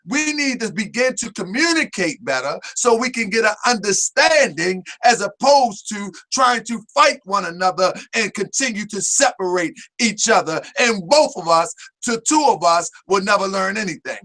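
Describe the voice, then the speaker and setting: A man's voice: high-pitched, expressive, moderate pace, few pauses, pushed and bright. A man hosting a live stream talk show, speaking in a hyper, emphatic, preachy way. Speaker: host.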